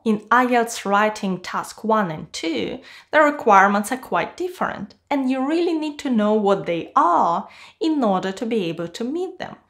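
Woman, 40s, from Kazakhstan, non-native English speaker; clear, upbeat exam tutor recorded in a small home studio. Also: a little high, a bit bright. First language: not English. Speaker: IELTS teacher. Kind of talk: scripted video lesson.

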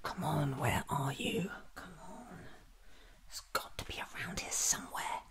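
A man's voice: fairly high pitch, a little slow, gravelly. Whispering man in scatterbrained ASMR roleplay. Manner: whispered, muttering to himself in short fragments. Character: scatterbrained, excitable time-travelling alien doctor, newly regenerated. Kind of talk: ASMR roleplay acted as a monologue.

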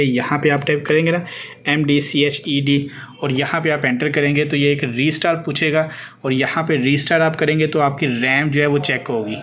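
A man's voice: a little high, a bit bright. A man giving an unscripted, step-by-step tech tutorial, instructing and repeating phrases as he demonstrates. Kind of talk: hands-on spoken tutorial, unscripted. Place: indoors at a desk with a laptop. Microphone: handheld phone behind the camera. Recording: clearly noisy homemade phone recording.

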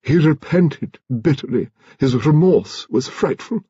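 A man voicing an imperious, frank, stout elderly English noblewoman, speaking in a grand, emphatic, deliberate way for an audiobook. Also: on the high side, animated, warm tone, slightly rough, no echo, highs cut off, pitched above the performer's own voice. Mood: anguished.

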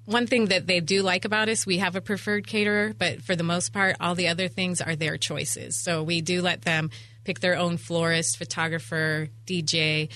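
Bright-voiced middle-aged woman, chatty interview guest. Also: fairly low pitch, flat delivery, normal speed. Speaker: interview guest, event venue owner. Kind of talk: chatty radio interview answers. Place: radio studio. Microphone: studio microphone on boom arm.

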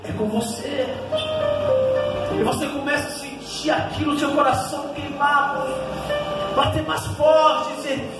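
A man in his thirties with a Northeastern Brazilian accent, preaching a passionate sermon that surges loud and then drops low, into a handheld mic in a small church hall. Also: fairly even intonation, a little slow, pauses often. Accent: Northeastern Brazilian Portuguese. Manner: impassioned preaching, surging then dropping low. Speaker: pastor. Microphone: handheld mic at a pulpit. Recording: echoey hall, distant camera, loud music.

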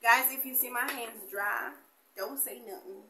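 A young woman chatting casually to camera as a beauty vlogger, animated and colloquial, addressing viewers familiarly. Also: on the high side, measured pace, roomy sound. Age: young adult. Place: small indoor room with bare wall.

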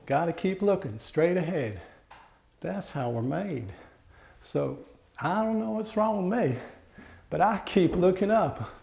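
A man's voice: high voice, animated, unhurried, gravelly, darkish tone, pitched above the performer's own voice, heavily acted. A man reciting a narrative poem as a plainspoken, reflective country man with an American country accent. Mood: musing. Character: plainspoken, wondering country man musing on life. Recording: echoey room with faint background noise.

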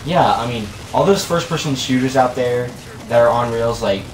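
A man's voice: mid-range voice, normal speed. A man chatting casually while playing a co-op video game, rambling and laid-back with lots of filler words. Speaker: co-op game commentator. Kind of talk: casual gaming chat. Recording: echoey room, distant mic, game noise. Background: video game sounds.

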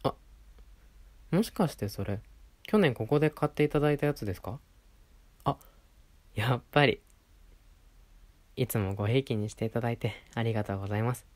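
A man's voice: medium pitch, fairly quick, long pauses, darkish tone, natural voice. A man playing a polite, attentive clothing shop assistant in a roleplay audio, speaking courteously to a regular customer. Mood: friendly, attentive. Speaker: voice performer in a situation voice audio. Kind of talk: Japanese situation-voice roleplay addressed to the listener.

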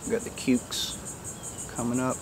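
A man casually showing viewers around his backyard garden outdoors, chatty and relaxed, joking with his animals. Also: mid-range voice, takes it slowly, background noise. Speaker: gardener giving a backyard tour. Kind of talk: casual walk-through narration.

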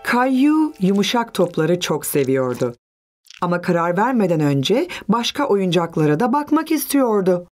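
A woman narrating a children's cartoon as a gentle storyteller and mother figure. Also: fairly low pitch, big pitch swings, moderate pace, soft background music.

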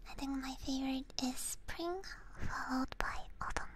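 Whispering woman doing gentle ASMR. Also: sits high, fairly even intonation, moderate pace. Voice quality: breathy whisper. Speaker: ASMR streamer. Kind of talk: whispered ASMR chat to live stream viewers. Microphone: close binaural ear microphone.

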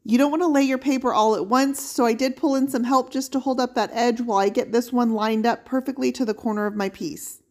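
Slightly high-voiced woman explaining a makeover. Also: brisk, few pauses. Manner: steady, explanatory step-by-step walkthrough. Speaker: furniture painting channel host. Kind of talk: instructional voice-over.

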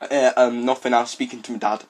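A young adult British man making a casual prank call in a halting, hesitant manner. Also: mid-range voice, little pitch movement. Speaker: prank caller.

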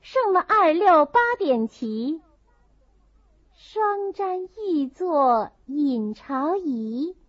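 A woman voicing a lively young maidservant who calls out drinking-game verses in a classic novel reading. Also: high voice, extremely slow, no echo, voice pushed up, heavily acted. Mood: bright, calling out. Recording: dull, muffled audio.

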